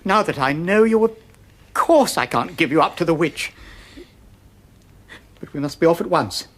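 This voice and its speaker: Timid nervy faun, kinda theatrical and full of guilt, storybook radio play, voice goes up and down. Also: male voice, on the high side, expressive, a bit bright. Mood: resolved, gentle. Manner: halting, self-reproachful, theatrical. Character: gentle, guilt-ridden male faun, timid and fretful.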